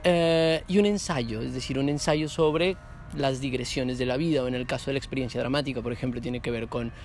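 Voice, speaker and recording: A man's voice: mid-range voice, fairly even intonation, a little fast, a little hiss or hum. A man interviewing someone about literature, putting long, reflective questions and rephrasing as he goes.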